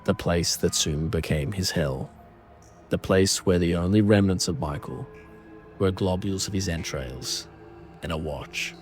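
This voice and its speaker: A low-pitched man delivers scripted horror narration. His manner is grave and deliberate, shaping ornate prose dramatically, with faint music underneath.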